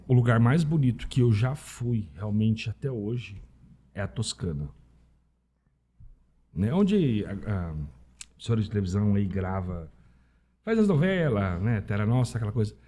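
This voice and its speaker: A Brazilian man with a husky voice talking casually as a podcast guest, informal and anecdotal, often checking in with tag questions. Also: fairly low pitch, big pitch swings, unhurried, warm tone. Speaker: podcast guest, Italian citizenship specialist. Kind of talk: casual conversational interview.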